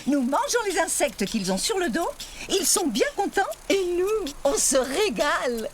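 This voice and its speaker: A woman's voice: high voice, very animated, a little slow, a little hiss or hum. A woman voicing a small, friendly, chatty bird in a children's cartoon. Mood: perky, friendly. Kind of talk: children's educational cartoon acting. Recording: loud music behind the voice.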